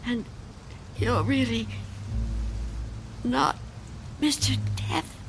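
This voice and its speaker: Frightened old woman, slow and halting. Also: fairly high pitch, animated, slight background noise. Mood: fearful, wary. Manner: fearful, halting, stammering. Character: frightened, reclusive old woman clinging to her home. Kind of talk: radio drama acting.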